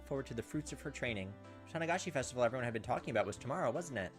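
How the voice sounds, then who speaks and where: A man narrates a visual novel for a let's play, slipping between reading and casual remarks. His pitch moves around in a lively way, with soft music underneath. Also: very fast.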